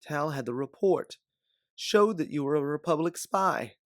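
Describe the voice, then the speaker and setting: A man playing an older, protective bounty hunter mentor in an audio drama, speaking in an earnest, measured way. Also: a little high, expressive, dry recording, studio quality, pitched above the performer's own voice. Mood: explaining. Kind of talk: dramatic audio fiction acting.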